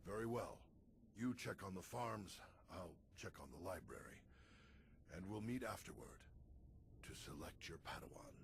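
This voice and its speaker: A very low, gravelly old Jedi master from a video game cutscene. He speaks with patient, measured solemnity, like a mentor teaching a pupil. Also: expressive, slight background noise. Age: older.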